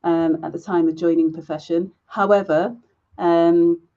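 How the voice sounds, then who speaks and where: A woman speaking as a panelist on a video call, measured and deliberate, pausing often. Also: fairly low pitch, steady tone, dark tone, lo-fi sound. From England. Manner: measured and deliberate, many pauses with "um".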